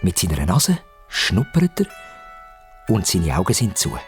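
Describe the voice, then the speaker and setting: A man with a Swiss German accent narrating a story for young children expressively, with dramatic pauses and repetition. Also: sits low, huge pitch swings, soft background music.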